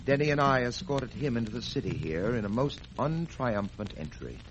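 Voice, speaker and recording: A man's voice: medium pitch, animated, moderate pace, natural voice. An actor playing a firm male detective making an arrest, in old radio drama. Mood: stern. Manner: firm, commanding. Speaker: detective and program narrator. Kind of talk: 1930s radio acting. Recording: muffled old radio recording with hiss.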